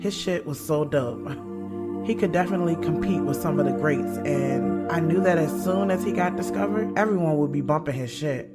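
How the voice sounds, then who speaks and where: A woman's voice: deep voice, normal speed, lightly acted. An actress with an American accent playing a blunt, sarcastic young woman writer, narrating her love life in a slangy, conversational audio drama style. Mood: wry, candid. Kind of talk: first-person scripted narration in an audio drama. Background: music under the voice.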